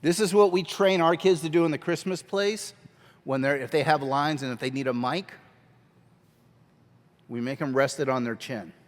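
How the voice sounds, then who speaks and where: A man teaching a children's ministry workshop at a conference, talking energetically and conversationally on a handheld mic. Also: on the high side. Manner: energetic, conversational, quick asides. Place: large church hall. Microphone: handheld microphone. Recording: long hall reverb.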